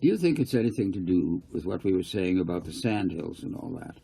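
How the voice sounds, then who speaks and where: A man's voice: deep voice, sing-song, a little fast, gravelly, warm tone, breathy edge, heavily acted. A man voicing an earnest, polite young boy in a storybook reading, giving short and simple answers. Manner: brief, earnest replies.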